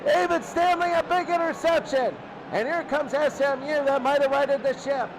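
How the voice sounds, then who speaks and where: A man's voice: extremely high pitch, steady tone, normal speed. A man doing live play-by-play radio commentary on a football game in short, clipped phrases. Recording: loud crowd noise, noisy broadcast. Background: roaring stadium crowd.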